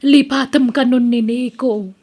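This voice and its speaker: A woman plays a frank younger woman in an Ilocano comedy drama. She speaks in a high voice with an Ilocano accent, advising her elder. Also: fairly even intonation, unhurried, dark tone. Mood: apologetic.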